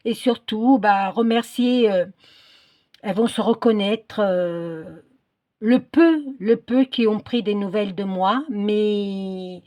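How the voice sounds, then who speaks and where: A woman's voice: medium pitch, slow, dark tone. A middle-aged or older woman chatting casually in a craft vlog, hesitant and often searching for her words. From Portuguese descent. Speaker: craft video creator.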